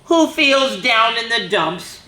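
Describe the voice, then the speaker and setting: A woman's voice: on the high side, expressive, slow, echoey room, noisy background. A woman reading a rhyming picture book aloud to children, with chatty asides between pages. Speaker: children's storyteller.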